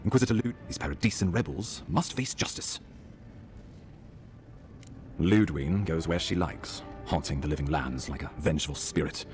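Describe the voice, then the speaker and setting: Deep, formal imperial ambassador. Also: male voice, moderate pace, a little mellow, faint music behind. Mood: grave, resolute. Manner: formal, stately wording. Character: grave, dutiful male ambassador of a fantasy empire. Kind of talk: dramatic video game dialogue.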